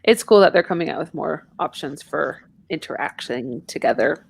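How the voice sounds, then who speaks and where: A woman's voice: low-pitched, animated, moderate pace, creaky, breathy edge, no room sound. Woman chatting casually, dry close sound. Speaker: podcast co-host. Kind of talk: casual group chat about video games.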